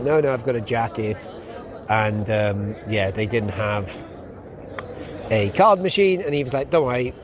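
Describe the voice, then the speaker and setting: A young man, a podcast host, chatting casually in a rambling way with lots of ums while walking outdoors through a city. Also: medium pitch. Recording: outdoor street noise. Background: street and traffic noise.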